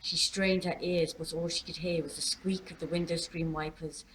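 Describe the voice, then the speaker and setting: A woman author reading her own novel aloud as expressive story narration. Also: low-pitched, normal speed, a little hiss or hum, rough recording.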